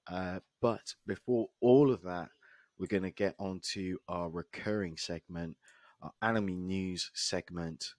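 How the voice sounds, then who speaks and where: A man with a hoarse, husky voice co-hosting a casual podcast and laughing often between remarks. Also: low voice, steady tone, measured pace, darkish tone, dry recording. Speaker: podcast co-host. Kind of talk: casual chat.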